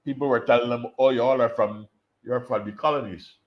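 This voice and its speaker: A man from Barbados, giving a measured conference talk and often restarting phrases as he explains. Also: medium pitch, steady tone. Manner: measured, explanatory, repeats words while thinking. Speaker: invited speaker, political scientist. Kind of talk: conference talk over video call. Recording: dull lo-fi call audio.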